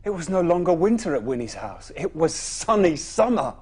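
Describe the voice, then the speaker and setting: A man reading a children's bedtime story expressively, with dramatic pauses and emphatic phrasing. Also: on the high side, big pitch swings, darkish tone, husky.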